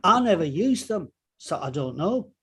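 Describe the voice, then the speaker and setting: A man's voice: high voice, sing-song, moderate pace, slight background noise. A man playing a terse, guarded riverboat captain under questioning in a courtroom drama table read, giving clipped, unyielding answers.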